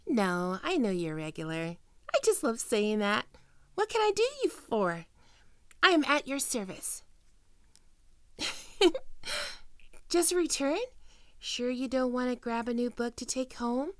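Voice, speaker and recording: High, animated woman playing a young fox-girl librarian. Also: slow pace, long pauses, dry recording, studio quality. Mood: playful, helpful. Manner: animated, teasing, expressive. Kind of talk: ASMR roleplay acting addressed to the listener.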